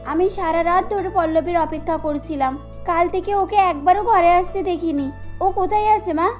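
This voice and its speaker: A woman's voice: extremely high pitch, normal speed, a little mellow, highs cut off, faint music behind. A woman voicing an anxious, wronged young village bride in a cartoon story, plaintive in manner.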